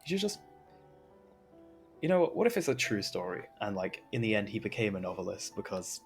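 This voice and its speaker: Low-voiced young man streaming a game. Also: very animated, a little fast. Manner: slangy, rambling, jokey. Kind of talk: casual live game stream commentary, reading aloud and reacting. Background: game music.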